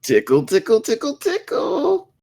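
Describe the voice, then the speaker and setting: Man playing a swoopy, husky young slacker. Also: very high-pitched, huge pitch swings, a little slow, mellow, full character voice. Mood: oblivious. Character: flippant, defensive 28-year-old slacker man. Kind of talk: animated comedy script table read.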